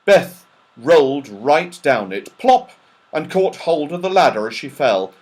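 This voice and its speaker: Male storybook narrator, fairly high voice, highly animated, expressive and dramatic delivery for children. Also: unhurried. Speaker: audiobook narrator.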